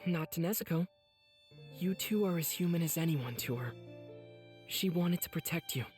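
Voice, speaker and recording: A man's voice: on the high side, normal speed, darkish tone, echoey room, long reverb tail, faint music behind, lightly acted. An actor playing an earnest, kind and determined teenage boy demon slayer in an anime game. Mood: gentle.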